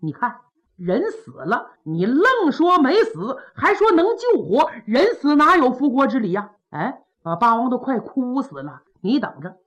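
A woman voicing a hot-tempered young male warrior who talks loud, coarse and blunt. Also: high-pitched, big pitch swings, a little slow, husky, full character voice. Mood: grumbling.

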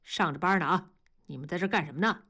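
Lady doing a factory union boss in an audiobook, dodgy, rushed, brushing everyone off. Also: lowish voice, husky, full character voice. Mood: placating. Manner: hushed, hurried, brushing people off. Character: evasive senior factory master and union chairman.

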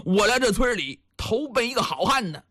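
A man voicing a big, rough captured vagabond who pleads and whines in a storyteller's tale. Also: very high voice, very animated, moderate pace, pushed and bright, no echo, studio quality, heavily acted. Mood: earnest, aggrieved. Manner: pleading, whining, stammering.

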